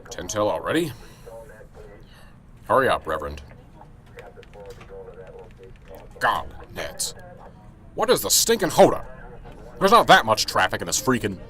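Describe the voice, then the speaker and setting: A man playing a stressed, gruff police sergeant in a radio drama, blunt and sarcastic. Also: lowish voice, sing-song, normal speed, pauses often, a bit bright. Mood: impatient.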